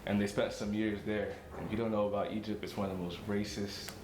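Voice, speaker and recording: A man's voice: lowish voice, flat delivery, quick pace. A young man telling personal stories casually as a podcast guest. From South Sudanese family, lived in America. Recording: roomy echo, clear background noise.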